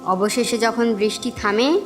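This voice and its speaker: A woman's voice: fairly high pitch, measured pace. A woman narrator reading a scripted story for a cartoon in a storytelling style. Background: music.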